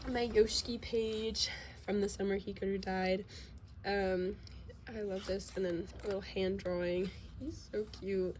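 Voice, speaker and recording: A woman's voice: mid-range voice, fairly even intonation, unhurried, darkish tone, a little hiss or hum. A teenage girl with a slight cold, sniffling a little, casually rambling through a sketchbook tour with lots of fillers and self-deprecating asides at home. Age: teens. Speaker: vlogger.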